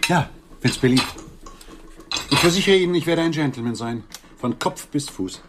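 A man's voice: fairly high pitch, lively intonation, moderate pace, fairly bright tone, slight background noise. An actor in a radio drama playing a stiff, self-important middle-aged businessman with stilted, formal speech. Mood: stiffly courteous. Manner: stilted, pompous formal courtesy, turning brusque.

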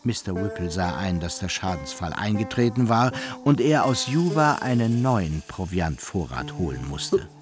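Animated male radio play narrator over music. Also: low-pitched. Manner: dramatic, literary storytelling. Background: music under the narration.

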